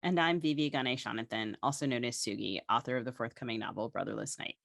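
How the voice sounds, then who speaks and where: A woman's voice: very deep voice, fast talker, gravelly, a bit bright, no echo, clean studio sound. A woman co-hosting a podcast, moving between casual chat and scripted introductions. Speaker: podcast co-host.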